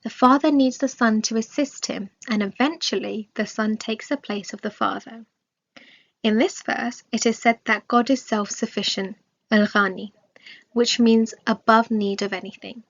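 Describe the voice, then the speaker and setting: A woman reading a scripted religious study aloud in a measured, formal manner. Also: on the high side, steady tone. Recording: dull, lo-fi recording.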